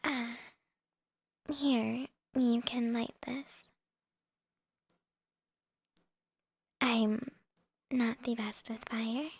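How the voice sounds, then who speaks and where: Woman playing a breathy, whispering young vampire girl. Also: fairly high pitch, steady tone, drawn-out words, darkish tone, dry recording, studio quality, exaggerated voice. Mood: shy, gentle. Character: shy, playful young female vampire with a crush. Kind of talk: whispered ASMR girlfriend roleplay.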